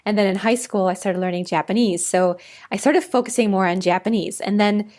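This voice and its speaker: A woman's voice: medium pitch, brisk, darkish tone. A woman who grew up speaking Polish, chatting casually and telling anecdotes as a podcast panelist.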